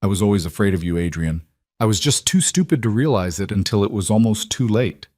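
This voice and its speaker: A man's voice: fairly low pitch, animated, fairly quick, a little mellow, no room sound. A defiant, hurt young wife performed by a male audiobook narrator. She is guarded and stubborn, pressing her accusations with feeling. The recording is very dry. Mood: guarded defiance. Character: defiant, hurt pregnant wife of a mafia boss. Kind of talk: dramatic audiobook dialogue.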